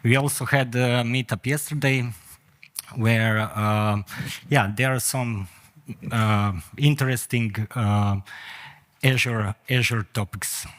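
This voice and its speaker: A man's voice: mid-range voice, fairly even intonation, takes it slowly, a bit bright. A middle-aged man from Croatia with a Croatian accent, giving a conference talk with many hesitant fillers. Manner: hesitant, frequent "uh" fillers, non-native phrasing. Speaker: conference speaker. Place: conference hall.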